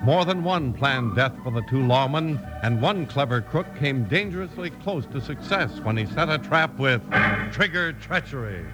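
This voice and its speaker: A man's voice: mid-range voice, animated, normal speed, music in the background, rough recording. An American man announcing and narrating in emphatic, theatrical 1950s radio style, reading from a script. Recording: old radio transcription.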